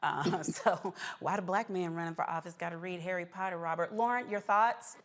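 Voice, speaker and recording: Female talk show host, lower register, conversational and probing, moderating interviews and panel discussion. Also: moderate pace. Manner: conversational, probing, with follow-up questions. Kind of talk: live panel hosting and interviewing.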